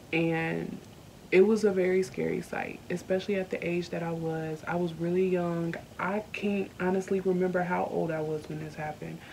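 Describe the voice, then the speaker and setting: Earnest woman, soft and low. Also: a little hiss or hum, poor audio. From Southern United States. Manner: earnest, candid, slowed by emotion, many ums. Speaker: YouTuber. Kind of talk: personal vlog storytelling. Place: indoors at home.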